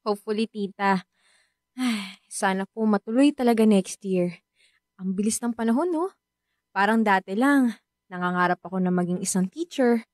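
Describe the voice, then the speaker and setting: A woman acting a cheerful, grateful young college student in a radio drama, polite and expressive, with a Filipino accent. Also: mid-range voice, moderate pace, long pauses, no room sound, studio quality, lightly acted.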